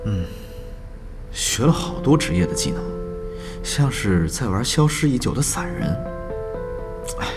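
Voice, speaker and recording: A man's voice: fairly low pitch, sing-song, a little slow, pauses often. A man playing a thoughtful, competitive guild swordsman in a Chinese audio drama, given to musing, sighing asides. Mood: impressed, serious. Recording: long hall reverb, music behind.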